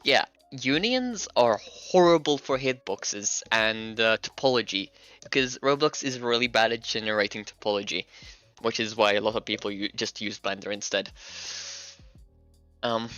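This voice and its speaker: A man's voice: fairly low pitch, moderate pace, sharp tone, clear, resonant tone, no room sound, highs cut off, soft background music. A man from Hungary, a native Hungarian speaker, chatting casually on a livestream while he works, thinking aloud and laughing often. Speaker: streamer and game developer.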